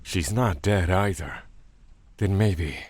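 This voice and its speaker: A man playing a brooding dark god and father, speaking gravely and deliberately in a dramatic audio drama. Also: deep voice, animated, exaggerated voice. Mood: urgent, conflicted. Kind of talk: dramatic first-person audio drama narration. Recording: loud music under the voice.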